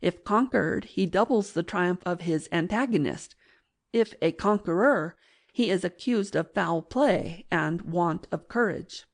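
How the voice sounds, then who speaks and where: A woman reading classic fiction as an audiobook narrator in a measured, literary manner. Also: fairly low pitch.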